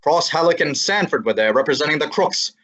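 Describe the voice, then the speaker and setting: A man's voice: fairly high pitch, lively intonation, brisk, sharp tone. A man playing a wisecracking young male detective assistant in a student radio drama, breezy and matter-of-fact. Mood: narrating. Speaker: student actor.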